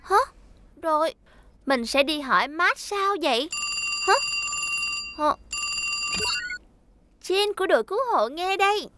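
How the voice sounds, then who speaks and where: A woman voicing a brisk, excitable young female rescue dispatcher in a cartoon dub, quick to ask questions. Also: sits high, heavy background noise. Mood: flustered. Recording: loud music behind the voice.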